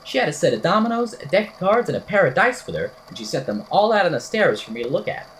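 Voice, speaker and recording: Woman playing a wordy, whimsical, low-pitched podcaster. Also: fast, a little hiss or hum. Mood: storytelling, wry. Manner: verbose, theatrical, wry deadpan. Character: eccentric, whimsical podcaster recounting a supernatural statement. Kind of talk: amateur audio drama, storytelling monologue.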